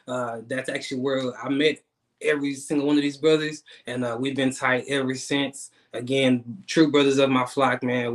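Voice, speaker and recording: Man, 30s, talk show host, stumbling, repeats words, medium pitch. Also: fairly bright tone. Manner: halting, repeats words, many fillers. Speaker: guest host. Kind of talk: casual online talk show hosting.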